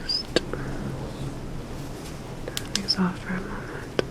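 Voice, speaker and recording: A woman playing a calm, reassuring underground hacker in a cyberpunk ASMR roleplay, speaking softly with gentle, hesitant pauses. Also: medium pitch, close to an everyday voice. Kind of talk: ASMR roleplay, personal attention.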